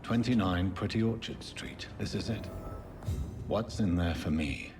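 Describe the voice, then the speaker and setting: A man with an English accent playing a brooding, haunted gentleman doctor turned vampire in a video game, speaking gravely and formally. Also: sits low, a little slow, over music. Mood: grim, investigating. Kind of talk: dramatic game voice acting.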